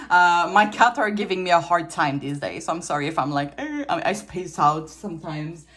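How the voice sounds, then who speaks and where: A woman's voice: lowish voice, expressive, normal speed, fairly bright tone. A woman from Quebec with a Quebec French accent, French as her first language, giving a casual tarot reading at a table, chatty, laughing often and restarting her sentences, a little tired. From Quebec, Canada. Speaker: tarot reader. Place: indoors at a table with trinkets.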